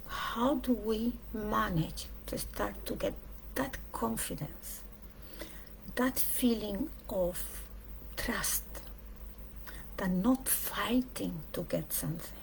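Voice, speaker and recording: Female speaker, for a reflective spiritual talk; unhurried delivery in short weighted phrases, gravelly creak in the voice. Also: mid-range voice, a little hiss or hum, rough recording. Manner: deliberate, emphatic phrasing in short pieces with pauses.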